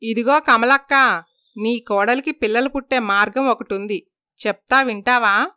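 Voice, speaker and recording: A woman's voice: fairly high pitch, unhurried, bright, forceful tone, clean tone, no echo, studio quality. A woman voicing a gossipy, blunt village neighbour woman in a moral story. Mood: meddling.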